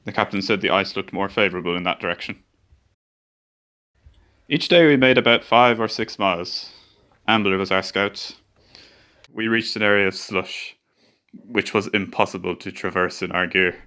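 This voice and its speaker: A man's voice: low-pitched, normal speed, long pauses, pushed and bright. A man playing a ship's chief engineer who soberly narrates a polar expedition in a radio play. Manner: sober storytelling.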